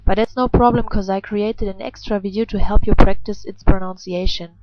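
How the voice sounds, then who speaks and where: A woman, a native German speaker with a German accent, giving an instructional pronunciation lesson as a language teacher, enunciating deliberately. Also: mid-range voice, steady tone, a little mellow, no echo, rough recording.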